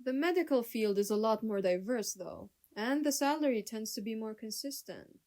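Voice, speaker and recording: Woman acting strict mother, high voice. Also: lively intonation, normal speed, darkish tone, lightly acted. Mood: practical. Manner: stern, admonishing. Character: strict, practical mother, disapproving and controlling. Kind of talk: scripted animated drama dialogue.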